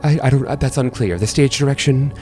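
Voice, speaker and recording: A man acts out a mousy, nervous little man for a tabletop game, his voice lifted higher. He halts, stammers and hums to himself, repeating himself in an evasive, forgetful mutter over music. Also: a little mellow, lightly acted. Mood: hesitant, flustered. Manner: halting, stammering, repetitive, humming to himself.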